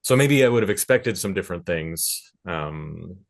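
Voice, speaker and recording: Deep-voiced, easygoing man in his thirties. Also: lively intonation, moderate pace, gravelly. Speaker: panelist, music professional. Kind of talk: casual reflective panel talk.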